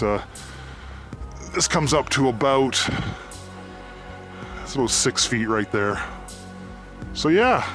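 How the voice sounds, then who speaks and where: A Canadian man speaks into a handheld camera mic while he walks through a forest. His narration is unhurried and thoughtful, broken up by frequent "uh" pauses. Faint music plays quietly under his voice. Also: mid-range voice. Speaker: abandoned mine explorer. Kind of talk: walking narration while exploring. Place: outdoors in a forest.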